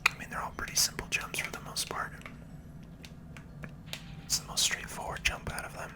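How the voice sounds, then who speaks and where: A video game host speaks entirely in a breathy, unvoiced whisper. The delivery is soft and unhurried, in a soothing ASMR style, as commentary that follows along with gameplay.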